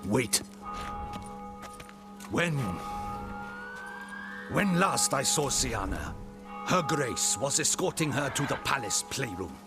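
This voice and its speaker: Game role: stiff, indignant male captain of the guard, very expressive mid-pitched voice, over loud score. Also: takes it slowly, gravelly, pauses often, over loud music, full character voice. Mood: reluctant, curt. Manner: formal, emphatic, indignant military delivery. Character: proud, duty-bound male captain of a ducal guard. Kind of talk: video game cutscene acting.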